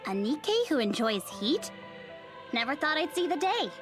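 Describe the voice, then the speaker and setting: A woman's voice: high voice, sing-song, moderate pace. A woman voicing a bold, teasing young woman soldier in a game. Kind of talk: game voice acting. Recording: game audio over music.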